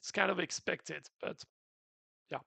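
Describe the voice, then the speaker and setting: Gravelly high-voiced man, slightly sore throat. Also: steady tone, a little slow, pushed and bright, no room sound. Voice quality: unusual voice, in his words. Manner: explanatory, earnest, many restarts. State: throat a bit sore after flu. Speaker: game developer hosting a community stream. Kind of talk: casual livestream update.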